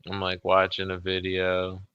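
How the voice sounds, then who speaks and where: A man's voice: deep voice, monotone, a little slow, clean tone, no room sound, highs cut off. A man co-hosting a stream in offhand, sweary casual chat. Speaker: stream co-host.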